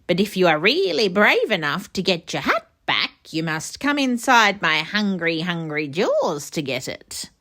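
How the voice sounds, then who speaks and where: A greedy storybook crocodile, male and menacing, as a woman reader puts him on. He drawls his taunts with animated rises and falls. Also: fairly low pitch, measured pace, few pauses, exaggerated voice. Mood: greedy, taunting. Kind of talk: children's storybook read-aloud.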